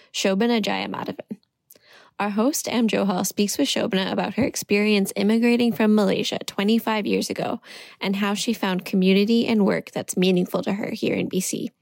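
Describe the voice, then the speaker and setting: A woman reading a scripted podcast introduction in a clear, read-aloud way. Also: mid-range voice, fairly even intonation, a little fast, darkish tone, dry recording.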